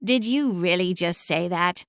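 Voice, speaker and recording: Audiobook role: woman playing aloof female cat, deadpan snark, superior air, tight dry sound. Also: on the low side, unhurried, clean tone, no room sound. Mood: disgusted. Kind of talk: audiobook character acting.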